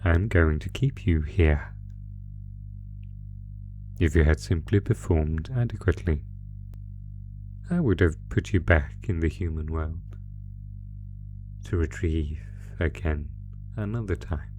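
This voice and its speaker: A man's voice: a bass voice, slow, pauses often, dark tone, faint music behind. A man voicing an ancient, possessive monster captor in a horror roleplay, gloating with menacing, mock-tender teasing. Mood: possessive pride. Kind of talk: horror roleplay audio acting.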